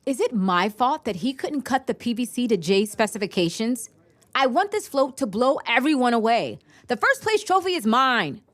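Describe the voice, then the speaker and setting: Casting: bossy teenage girl, high voice with big swings, insistent, for scripted audio drama. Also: very animated, moderate pace, dry recording. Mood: impatient, determined. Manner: driven, insistent. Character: fiercely competitive, bossy high-school girl team captain.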